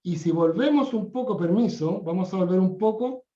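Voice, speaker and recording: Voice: medium pitch, normal speed, mellow, reverberant, dull audio. A Bible class teacher giving a classroom lecture, often repeating phrases and correcting themself mid-sentence. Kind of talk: classroom lecture on scripture history.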